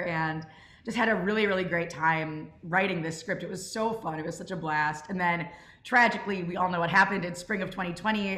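A woman's voice: lowish voice, sharp tone, a little hiss or hum. A young adult woman informally hosting a question session as host and writer over a video call. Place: indoors on a group video call. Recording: roomy echo on video call.

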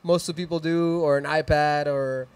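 Upbeat Mexican-accented studio host. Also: male voice, fairly high pitch, fairly even intonation, normal speed, smooth, dry recording. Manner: rambling, upbeat, plugs sponsors and socials. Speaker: host of a live music stream. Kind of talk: casual chat and shout-outs. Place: small decorated studio room, indoors. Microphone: handheld mic.

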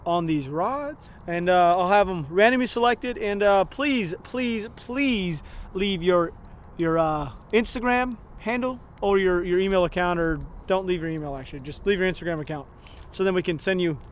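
Excitable high-voiced Texan man outdoors. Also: normal speed, muffled recording, slight background noise. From Texas. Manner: excitable, jokey, stumbling restarts. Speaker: fishing channel host. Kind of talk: casual vlog talk to camera. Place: outdoors on a rural gravel lot.

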